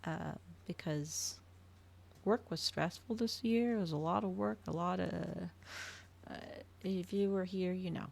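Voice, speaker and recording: A woman's voice: on the low side, measured pace, creaky, darkish tone. A woman livestreamer chatting casually to her viewers, rambling and thinking aloud as she goes. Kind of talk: casual chat to stream viewers.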